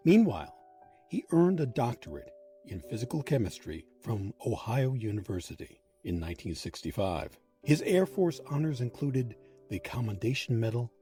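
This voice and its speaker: A man's voice: medium pitch, sing-song, normal speed, dark tone, soft background music. A man delivering scripted narration for a history documentary.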